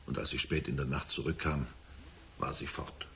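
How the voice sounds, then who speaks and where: An actor playing a troubled middle-aged father under suspicion in a radio drama, defensive and halting as he justifies himself. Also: rumbling low, fairly even intonation, fairly bright tone. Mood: defensive, bitter. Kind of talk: German radio drama acting.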